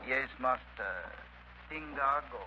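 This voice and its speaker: Old native servant, male actor, humble and grieving, halting English, medium pitch, dull 1930s radio sound. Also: natural voice. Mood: resigned grief. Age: older. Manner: subdued, halting pidgin English, deferential. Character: sorrowful old island native houseman, humble and dignified. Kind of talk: 1930s radio drama acting. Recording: muffled, hissy 1930s radio broadcast.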